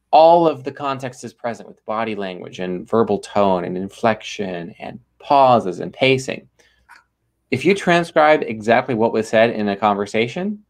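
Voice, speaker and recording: A man speaking as a guest in a podcast interview, talking with energy and emphasis and acting out little quoted exchanges. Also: fairly low pitch. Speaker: ghostwriter guest.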